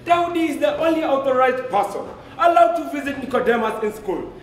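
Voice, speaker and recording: A man's voice: extremely high pitch, animated, brisk, a little hiss or hum. A young male student actor playing a cold, pompous, unyielding father in a formal stage style. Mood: cold, dismissive. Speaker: student actor in a school play. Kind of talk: declamatory stage drama. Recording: echoey school hall.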